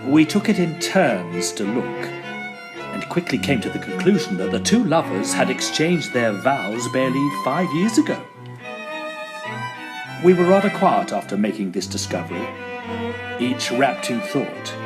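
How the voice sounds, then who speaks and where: Man playing an animated Edwardian gentleman storyteller over violin. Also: medium pitch, a little slow. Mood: solemn narration. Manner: theatrical, formal old-fashioned diction. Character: Edwardian gentleman ghost hunter, earnest, excitable, slightly muddled storyteller. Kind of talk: dramatised first-person period storytelling. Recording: violin music behind the voice.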